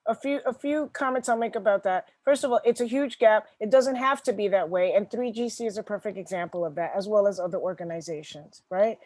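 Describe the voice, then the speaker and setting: A woman's voice: mid-range voice, brisk, hardly any pauses, a little mellow, dry recording. A woman hosting an interview podcast, talking in an agreeable, conversational way and often repeating phrases. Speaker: podcast host.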